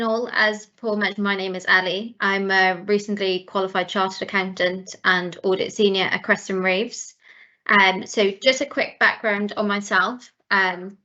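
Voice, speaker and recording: A woman's voice: medium pitch, sharp tone. A Welsh woman in her late twenties, Welsh her first language, giving a friendly, encouraging talk on an online careers call with frequent ums. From South Wales. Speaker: guest speaker, chartered accountant. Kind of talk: presentation at an online careers event. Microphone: video call. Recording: slightly dull online call audio.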